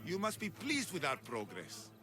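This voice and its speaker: An actor playing a ruthless, proud Spartan general in a video game, speaking in a boastful, curt, imperious way. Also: male voice, sits high, huge pitch swings, normal speed, over music. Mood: stern, confident. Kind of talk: scripted video game acting.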